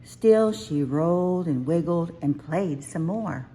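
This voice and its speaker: Slow-reading woman storyteller, slightly low. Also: expressive, warm tone, husky. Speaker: children's book reader. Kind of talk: scripted storybook narration.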